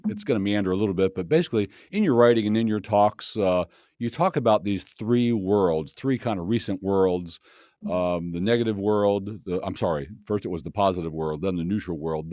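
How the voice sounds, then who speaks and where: A man's voice: lowish voice, a little mellow, no room sound, studio quality. A man, a pastor hosting an interview show, introducing his guest in a friendly, chatty way with small jokes. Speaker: pastor and podcast host.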